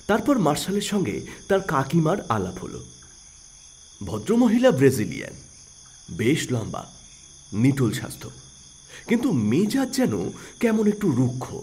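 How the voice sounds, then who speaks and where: Very animated male story narrator. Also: fairly high pitch, slightly rough. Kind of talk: dramatic scripted audio story narration.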